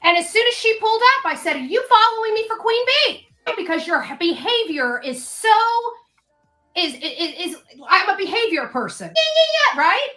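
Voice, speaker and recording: A woman's voice: extremely high pitch, big pitch swings, normal speed, pushed and bright, faint music behind. A woman speaking off the cuff as an online commentator, in an emphatic, repetitive, confrontational manner. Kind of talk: unscripted rant and advice to camera. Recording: roomy echo with faint noise.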